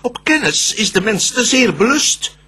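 A man's voice: extremely high pitch, big pitch swings, unhurried, bright, forceful tone, breathy edge, exaggerated voice. A man playing a cunning, calculating and defiant devil in a classical verse radio play, speaking with theatrical emphasis. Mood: defiant, eager.

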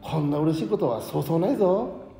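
A man acting an ageing, modest schoolteacher in an audio drama, speaking as a reflective, principled and gently frank mentor. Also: a little high, animated, gravelly. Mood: warm, encouraging. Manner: reflective, measured mentor talk. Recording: echoey hall reverb, music underneath.

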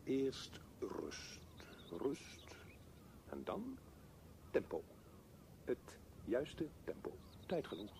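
A man's voice: medium pitch, huge pitch swings, extremely slow, gravelly, long pauses, mellow, a little hiss or hum, heavily acted. An actor playing a brooding, restless man thinking aloud in a radio drama, with theatrical, broken phrasing. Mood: restless, urging himself.

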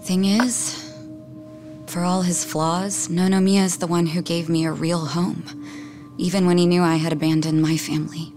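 A woman acting a blunt but wounded young woman in a game drama. Her voice is on the low side, frank and full of feeling, with soft music behind her. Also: flat delivery, normal speed, close to an everyday voice. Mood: regretful.

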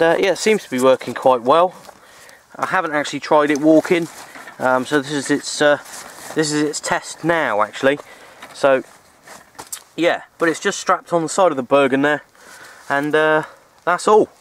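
A man's voice: fairly high pitch, measured pace, pauses often, fairly bright tone, a little hiss or hum. A man in his thirties talking casually and a little hesitantly to a handheld camera outdoors, rambling through explanations as a hobby vlogger. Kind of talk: casual outdoor vlog talk. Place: outdoors in open countryside. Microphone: handheld camera at arm's length.

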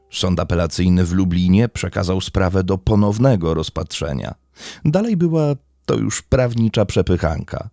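A man's voice: sits low, mellow, no room sound. A man hosting a true-crime podcast as a scripted narrator.

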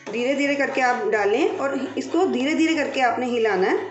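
A woman's voice: sits high, highs cut off. A woman hosting a cooking channel, giving patient step-by-step kitchen instructions and repeating each step. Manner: unhurried, repeats steps patiently. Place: home kitchen. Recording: roomy, distant sound with faint music.

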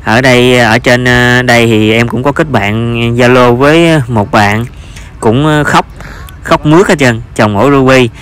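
Southern vietnamese guy, farmer doing a casual vlog, average pitch, kinda hesitant and repeats himself. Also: a little slow, fairly bright tone, smooth. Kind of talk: informal vlog narration.

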